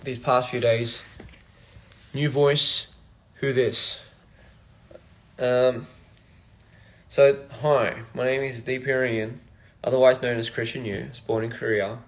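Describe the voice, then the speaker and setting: A young man from Australia, a musician chatting casually on a phone livestream in a halting, rambling, soft-spoken way. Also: medium pitch, fairly even intonation. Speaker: musician streaming live. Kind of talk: casual live-stream chat.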